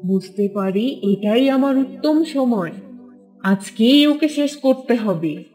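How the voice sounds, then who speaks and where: A woman's voice: fairly high pitch, animated, slow, dark tone, soft background music. A woman voicing the bitter, vengeful ghost of a murdered young woman in a horror cartoon, in a dramatic manner. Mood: bitter, brooding.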